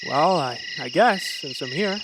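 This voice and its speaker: An actor playing an earnest young small-town man with a halting, folksy, stammering delivery in a radio play. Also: a little high, expressive, very noisy. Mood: bashful, evasive. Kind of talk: live radio play acting in an old-fashioned manner.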